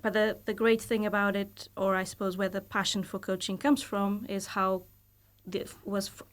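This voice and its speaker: A woman in her thirties speaks with a Portuguese accent, a mid-pitched voice as a guest on a studio podcast. She talks about her work in a thoughtful, measured way. She often pauses and hedges with fillers as she gathers her thoughts. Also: level tone. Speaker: guest, university lecturer. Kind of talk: casual podcast interview.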